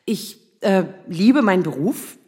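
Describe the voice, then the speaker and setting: An actress playing a nervous, self-doubting lawyer in her thirties in a naturalistic radio drama, speaking in a halting, rambling way. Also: medium pitch, lively intonation, darkish tone, natural voice. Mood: nervous, eager. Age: 30s. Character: nervous, self-doubting 35-year-old asylum lawyer, single woman.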